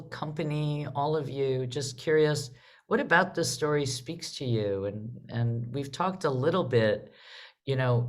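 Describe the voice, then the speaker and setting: A woman hosting a theatre panel discussion in a conversational way. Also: rumbling low. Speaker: host, theatre leader.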